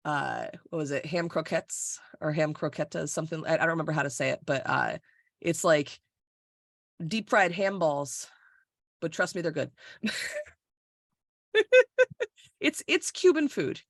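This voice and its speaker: Blunt, animated woman podcast co-host. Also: low-pitched, moderate pace, no echo, clean studio sound. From Florida, USA. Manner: animated, blunt, swears freely, laughs often. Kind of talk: casual chat. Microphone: recorded over a video call.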